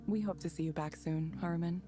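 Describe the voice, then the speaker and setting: Actress as measured, low-voiced female counselling proxy. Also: steady tone, over music, natural voice. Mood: calm, procedural. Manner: polite, measured, impersonal. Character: calm, scripted female proxy for a virtual counsellor. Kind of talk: visual novel game voice acting.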